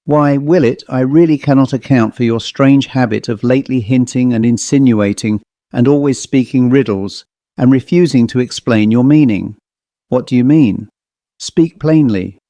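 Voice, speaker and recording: A man voicing a gentle but firm mistress of a household who presses her servant to speak plainly. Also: medium pitch, moderate pace, dry recording, dull audio, close to an everyday voice. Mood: grave. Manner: coaxing, then insisting on plain speech.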